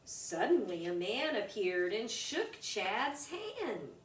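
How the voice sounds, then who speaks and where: A woman reading a rhyming children's picture book aloud in an expressive, deliberate way for young listeners. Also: mid-range voice. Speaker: storybook reader. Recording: roomy, distant mic with background noise.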